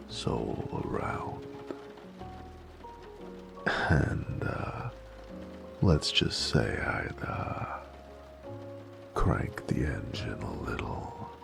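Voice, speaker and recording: A man performs a flirty, cocky mafia driver in a noir romance audio drama, American and full of teasing street slang. The voice sits extremely deep with heavy gravel and fry. Music plays clearly behind the intimate talk. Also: exaggerated voice. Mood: confiding, suggestive. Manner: teasing, slangy tough-guy drawl. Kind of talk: romantic noir audio roleplay, intimate one-sided dialogue.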